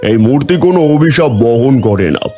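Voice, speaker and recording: Guy as a kindly solemn holy man in a cartoon, warm dark voice, mid pitch. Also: animated, normal speed, faint music behind, close to an everyday voice. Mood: solemn, approving. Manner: solemn, benevolent. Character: solemn male holy sage blessing an honest villager.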